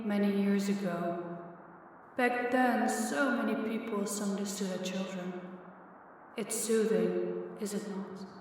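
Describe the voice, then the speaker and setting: A woman playing an ancient, powerful vampire in an audio roleplay, slow, deliberate and condescending, with calm menace toward the listener. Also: mid-range voice, natural voice. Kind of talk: intimate scripted audio roleplay addressed to listener. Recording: long hall reverb, faint background noise.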